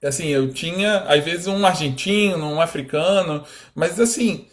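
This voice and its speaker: A Brazilian man in his thirties from Rio, a guest talking casually in an interview, animated and full of fillers. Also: a little high, a little slow. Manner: animated, quotes imagined speech, many fillers.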